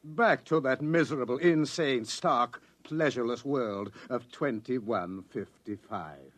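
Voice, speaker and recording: An actor playing a government agent from the future, theatrical, mock-polite and insinuating, in 1950s radio drama style. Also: male voice, medium pitch, slow, no room sound. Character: suavely menacing male government searcher from the future, toying with his quarry. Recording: vintage radio drama recording.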